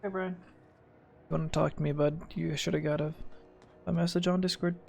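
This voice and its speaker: Gravelly, sweary male game streamer. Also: fairly high pitch, level tone, brisk, darkish tone, faint music behind. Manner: sweary, muttering to self in short fragments. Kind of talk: casual gameplay commentary.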